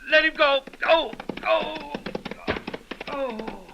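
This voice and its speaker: An actor playing a wounded Civil War general in a 1930s radio drama, commanding yet gentle, halting and groaning. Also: male voice, very high voice, gravelly, highs cut off, heavy background noise, heavily acted. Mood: pained, alarmed. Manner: halting, groaning, stern orders. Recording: old 1930s radio recording, faint music.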